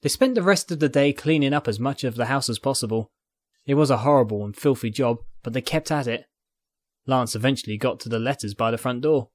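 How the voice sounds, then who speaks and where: A man's voice: medium pitch, fairly quick, no echo. A man reading fiction aloud as narrator, in scripted dramatic storytelling style. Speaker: narrator, fan fiction reader.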